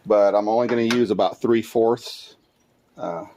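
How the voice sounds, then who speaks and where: A man in his forties, born in the Philippines, chatting casually through a cooking vlog on his windy front patio. He uses a phone and Bluetooth earbuds, drops in Tagalog phrases, and fills pauses with "uh". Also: on the low side. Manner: relaxed and chatty, with many uh fillers and Tagalog phrases mixed in. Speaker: cooking channel host. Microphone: Bluetooth earbuds with a cell phone. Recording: noisy outdoor phone recording with wind and sizzling. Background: sizzling and stirring in a wok.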